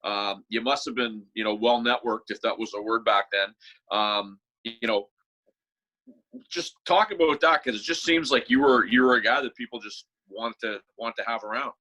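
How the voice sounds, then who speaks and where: A man's voice: mid-range voice, normal speed, bright, forceful tone, poor audio. A man co-hosting a podcast, asking roundabout questions in casual, hesitant talk. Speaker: podcast co-host interviewer. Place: remote online call.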